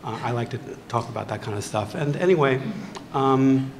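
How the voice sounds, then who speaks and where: A man gives a plain, dry introduction as a panelist. His table mic picks up long hall reverb and clear room ambience. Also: medium pitch, normal speed, a little hiss or hum. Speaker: panelist, filmmaker, teacher. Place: gallery stage before a large audience.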